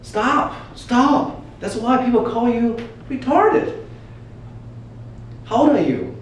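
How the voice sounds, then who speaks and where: Man as a scornful old wise man, high-pitched. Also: animated, takes it slowly, full character voice. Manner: scolding, mocking, emphatic. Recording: echoey room from far off, faint noise.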